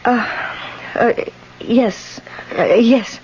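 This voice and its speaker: Anxious landlady in old radio play, highish voice, hesitant, polite, muffled recording. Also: extremely slow, darkish tone, a little hiss or hum. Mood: frightened. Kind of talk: old-time radio drama acting.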